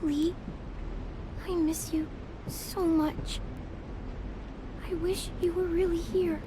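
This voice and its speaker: Caring, determined girl in a survival game: high pitch, slow hesitant delivery, soft-spoken, noisy ambience behind. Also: flat delivery. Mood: tender, sad. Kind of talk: naturalistic video game drama acting.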